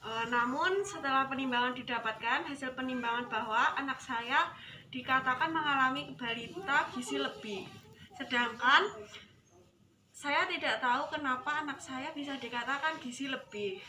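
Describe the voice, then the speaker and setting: A woman's voice: high-pitched, normal speed, sharp tone. A woman playing a polite, puzzled working mother aged twenty-nine in a rehearsed counselling role-play. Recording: echoey room with clear background noise.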